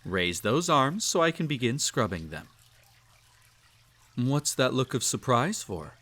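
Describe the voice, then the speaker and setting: A man's voice: medium pitch, very animated, heavily acted. A man playing a cruel, possessive emperor in a roleplay audio, speaking with haughty, silky condescension. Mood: amused, condescending. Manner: haughty, condescending, drawn-out teasing delivery with soft chuckles. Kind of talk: roleplay audio acting, intimate second-person monologue.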